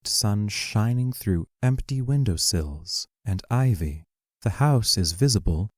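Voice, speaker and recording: A man giving scripted narration of stage directions for an audio play in a measured, matter-of-fact way. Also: fairly low pitch, expressive, a little mellow, no echo, clean studio sound.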